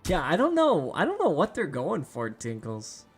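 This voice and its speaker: A young man, hoarse and losing his voice, chatting casually with viewers while streaming a game. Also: a little high, huge pitch swings, moderate pace. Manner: chatty, talks to viewers by name. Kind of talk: casual live-stream chat.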